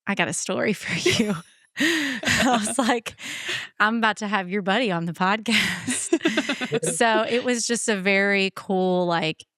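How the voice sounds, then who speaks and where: A woman's voice: mid-range voice, measured pace, fairly bright tone, no echo, clean studio sound. A woman hosting a podcast, chatting casually and telling stories in a chatty way, often quoting others. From born in Beaumont, Texas, lives in Charleston. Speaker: podcast host. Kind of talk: casual chat, storytelling.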